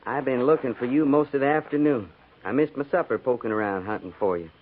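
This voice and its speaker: An actor playing a folksy, loyal army sergeant with a rustic Western American accent, in 1950s radio drama style. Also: male voice, medium pitch, fast talker, lightly acted. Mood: wry, concerned. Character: folksy, loyal, plain-spoken army sergeant of the Old West. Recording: tinny, hissy 1950s radio recording.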